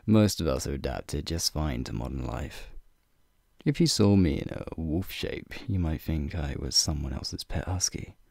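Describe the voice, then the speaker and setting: Guy doing a flirty werewolf guide for asmr, super deep crackly voice, breathy n playful, up close and dry with faint music behind. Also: lively intonation, moderate pace, a little mellow, no room sound, exaggerated voice. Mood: teasing, reassuring. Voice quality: deep, gravelly, breathy low voice. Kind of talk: ASMR roleplay, speaking to the listener. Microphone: close microphone.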